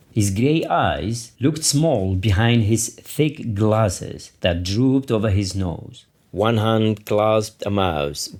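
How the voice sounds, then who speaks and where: A man narrates a novel in a low register. His tone is very mellow and warm, and his phrasing is deliberate, as an author reading his own work. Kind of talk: literary audiobook narration.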